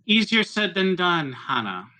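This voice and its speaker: A man playing a tabletop roleplaying game, improvising in character in a hesitant, halting way. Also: high-pitched, sing-song, sharp tone.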